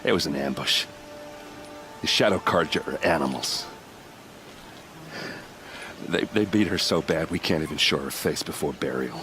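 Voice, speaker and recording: Very deep-voiced, bitter game soldier. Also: male voice, moderate pace, long pauses, slight background noise. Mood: grieving, bitter. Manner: blunt, bitter, rough-spoken. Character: gruff grieving male soldier, hard-drinking and self-deprecating, bent on revenge. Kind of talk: video game dialogue acting. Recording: loud music behind the voice.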